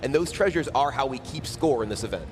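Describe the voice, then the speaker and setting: A man co-casting a live card game tournament, explaining decks in a measured, analytical way. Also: mid-range voice, expressive, slight background noise. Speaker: esports co-caster.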